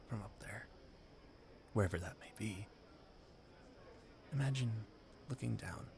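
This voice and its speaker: A man playing a low-voiced, earnest dreamer gentleman. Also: gravelly, noisy background. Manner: wistful, formal, flustered stammering when startled. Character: earnest dreamy young gentleman inventor at a grand ball. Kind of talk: romantic audio roleplay acting.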